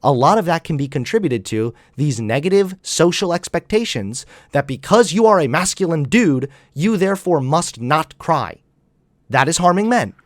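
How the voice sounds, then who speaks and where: A man's voice: on the high side, sing-song, normal speed, dry recording. A young man hosts a heated online debate, lecturing in a slightly raised voice and cutting across his opponent. The sound is dry and close to the mic, with no room around it. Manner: combative, lecturing, talks over his opponent. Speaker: debate host.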